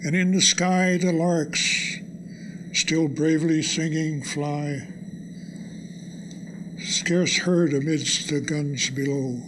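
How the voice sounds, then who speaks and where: Slow, higher-voiced older man reciting. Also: steady tone. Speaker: audience member. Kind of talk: reciting a poem from memory. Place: live college lecture event. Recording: clearly noisy live event recording.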